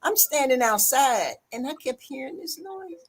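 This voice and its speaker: A woman's voice: sits high, lively intonation, moderate pace, breathy edge. A woman hosting a live stream chat, coaxing and soothing, calling her listener sweetheart and baby as she gives advice. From a big city.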